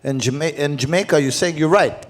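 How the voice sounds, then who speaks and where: A male panel host with a slightly raised pitch who grew up in Zimbabwe. He speaks in an animated, talkative way and builds long questions for his guests. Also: brisk. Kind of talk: panel moderation.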